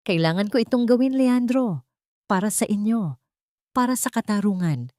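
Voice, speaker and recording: A woman's voice: medium pitch, expressive, normal speed, a little mellow, dry recording, clean studio sound, pitched above the performer's own voice, natural voice. A woman voicing a resolute, defiant mother with a firm, authoritative, dramatic delivery.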